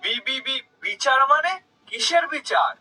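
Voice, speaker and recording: A crooked, greedy village shopkeeper from a cartoon, acted by a man. His voice sits extremely high, with a hard, piercing edge and a husky grain. He wheedles and blusters like a born cheat. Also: lively intonation, a little slow, sharp tone, soft background music, exaggerated voice, low-quality recording. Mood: nervous, pleading. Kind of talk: cartoon character acting.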